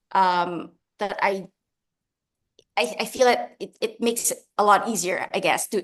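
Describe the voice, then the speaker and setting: A woman's voice: mid-range voice, fairly bright tone. A woman from the Philippines, an author answering interview questions in a chatty, laughing, self-deprecating way over a video call. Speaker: author guest.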